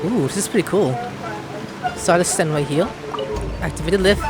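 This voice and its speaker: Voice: medium pitch, fairly quick. A game streamer giving casual, rambling commentary, thinking aloud while playing. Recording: game music behind the voice.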